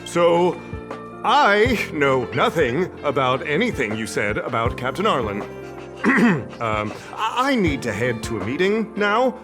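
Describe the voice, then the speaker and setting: A self-important male professor from a video game, theatrical and slippery. His voice sits fairly high and leaps around dramatically as he puffs himself up or dodges. Music plays clearly behind him. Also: big pitch swings, slow pace. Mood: flustered. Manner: pompous, dramatic, stammering when flustered. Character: vain, theatrical male professor, evasive and easily flustered.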